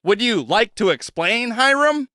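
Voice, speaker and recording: A man voicing a cheerful, enthusiastic male alien host who speaks in a brisk and courteous way. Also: extremely high pitch, sing-song, pushed and bright, dry recording, studio quality, voice pushed up, heavily acted.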